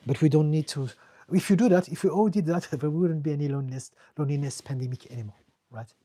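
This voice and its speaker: Man, French accent, earnest and blunt, husky mellow tone, dry close recording. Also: fairly high pitch, fast talker, no room sound. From France. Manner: earnest, direct, repeats words when searching for them. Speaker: therapist answering live chat questions. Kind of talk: live-stream Q&A, casual advice.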